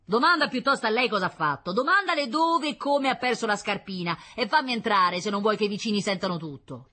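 An indignant, self-important father and policeman played by a woman reading a storybook. The delivery is emphatic and accusatory, with a husky edge and very animated pitch. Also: fairly quick. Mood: indignant, commanding.

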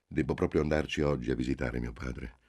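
A man reading a novel aloud, voicing a detached, apathetic man of thirty who speaks calmly. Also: rumbling low, brisk. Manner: calm, flat.

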